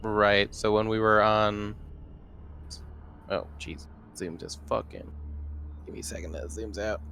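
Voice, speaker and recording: A man's voice: lowish voice, measured pace, gravelly, a bit bright, slight background noise. A man talking casually and slangily as a player in an online tabletop game. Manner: informal, slangy, mild swearing. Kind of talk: casual game chat over video call. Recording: video call, music behind the voice.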